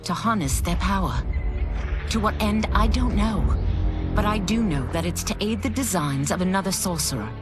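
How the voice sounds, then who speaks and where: A woman playing a grave, repentant demon woman in a video game cutscene, speaking formally and deliberately. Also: mid-range voice, expressive, natural voice. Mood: solemn explanation. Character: solemn repentant demon woman seeking redemption. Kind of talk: dramatic game cutscene acting. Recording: background music under dialogue.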